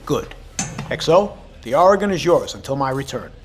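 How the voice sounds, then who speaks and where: A man's voice: medium pitch, big pitch swings, normal speed, soft background music, natural voice. An American man playing a commanding, wary Navy submarine captain in an audio drama, with clipped, authoritative military delivery. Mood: firm, commanding. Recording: clear ambient background noise.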